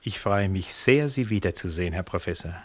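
An actor playing an urbane ministry official in a German radio play, courteous and dryly teasing. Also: male voice, sits low, animated, fairly quick. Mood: courteous, ironic.

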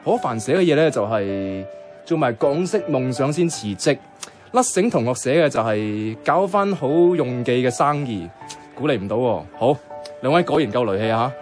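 An actor playing an eager young friend who philosophises in a Cantonese radio drama, playfully earnest, with a Hong Kong accent. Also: male voice, medium pitch, expressive, normal speed, close to an everyday voice. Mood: enthusiastic. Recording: music clearly behind the voice. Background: music.

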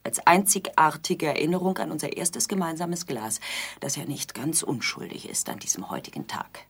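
Aggrieved bride, low husky voice, dryly needling, pointed, theatrical radio play delivery. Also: female voice, lively intonation, moderate pace, heavily acted. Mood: pleading. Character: reproachful, needling bride, aggrieved on her wedding night. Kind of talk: theatrical radio drama dialogue.

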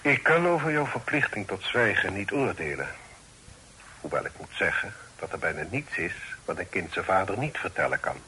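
An actor playing a grave middle-aged father and magistrate in a radio play, with a husky voice and solemn, measured, formal delivery. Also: deep voice, sing-song, bright, forceful tone, slight background noise, exaggerated voice, rough recording. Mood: grave, probing. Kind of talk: Dutch radio play acting.

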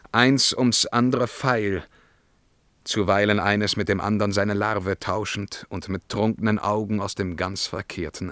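An actor playing an imperious, brooding emperor in a verse radio drama, declamatory and commanding in a solemn, measured way. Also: male voice, lowish voice, a bit bright, no room sound. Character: imperious, brooding Byzantine emperor, haughty and troubled.

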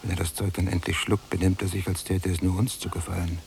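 Younger male prisoner, very gravelly, dry, observant, articulate, radio drama, clear background hum. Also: low voice, little pitch movement, quick pace, a little mellow. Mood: exasperated. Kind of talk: literary radio drama acting.

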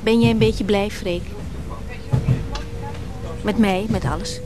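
A woman's voice: mid-range voice, steady tone, slow, natural voice. An actress in a naturalistic radio drama, playing an affectionate, inquisitive girlfriend. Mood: tender, curious. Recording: very noisy radio drama recording, vehicle ambience.

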